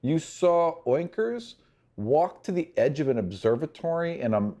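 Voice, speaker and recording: A man's voice: on the high side, big pitch swings, a little slow, warm tone. Conversational man narrating a tabletop fantasy game. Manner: conversational, descriptive, thinking aloud. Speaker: game master.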